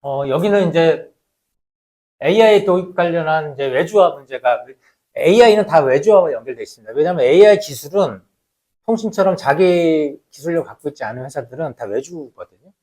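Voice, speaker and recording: Higher-pitched man giving an explanatory lecture. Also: expressive, fairly quick, warm tone. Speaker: labour researcher giving a lecture.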